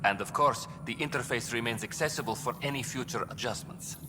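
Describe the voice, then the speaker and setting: A man playing a dry, officious bureaucrat in a video game, speaking formally with a deadpan, wry delivery. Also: medium pitch, fairly quick, background noise, natural voice. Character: dry, officious male bureaucrat managing cryo deployments. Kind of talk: video game character dialogue. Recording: reverberant hall, clear background ambience.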